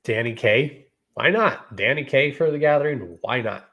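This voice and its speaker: A man's voice: medium pitch, animated, normal speed, a bit bright, lo-fi sound. A man in his thirties hosting his own podcast, talking casually to viewers and filling his speech with "you know" and "y'all.". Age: 30s. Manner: laid-back, repeats "you know" and "y'all". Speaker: podcast host.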